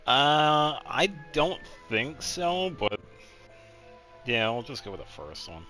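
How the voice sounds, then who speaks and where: Male streamer: bright, forward tone, offhand playthrough chatter, thinking aloud over faint game music. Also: mid-range voice, very animated, takes it slowly. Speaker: gaming streamer. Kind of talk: casual playthrough commentary.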